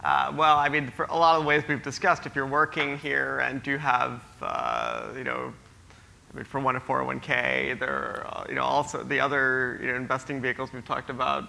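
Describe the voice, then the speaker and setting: A man's voice: mid-range voice, brisk, vocal fry, pushed and bright. A man moderating a conference panel, answering questions in a hesitant way with many fillers and restarts. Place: conference hall.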